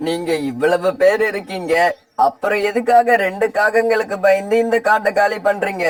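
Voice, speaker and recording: A man's voice: high voice, fairly even intonation, moderate pace, close to an everyday voice, rough recording. A man voicing a righteous, bold male bird in a children's cartoon story. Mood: firm, encouraging.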